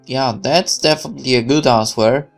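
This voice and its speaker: A man's voice: medium pitch, normal speed, faint music behind. A man reading a visual novel aloud as he plays, with wry asides. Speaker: game player.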